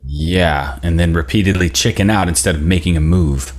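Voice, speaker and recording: A man as a very low-voiced sardonic friend. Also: moderate pace, darkish tone, slight background noise, close to an everyday voice. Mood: teasing. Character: sardonic working man, blunt teasing friend. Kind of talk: acted table read.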